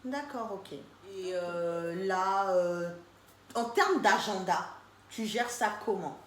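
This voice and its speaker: A woman's voice: medium pitch, takes it slowly. A woman hosting a casual interview show, chatting and prompting her guest with short acknowledgements. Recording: echoey room with faint background noise.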